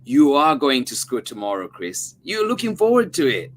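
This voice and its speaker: A man's voice: mid-range voice, wildly swooping pitch, moderate pace, a little hiss or hum, voice pushed up. A man voicing an anxious young mother in a storybook reading. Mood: coaxing. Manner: coaxing, firm.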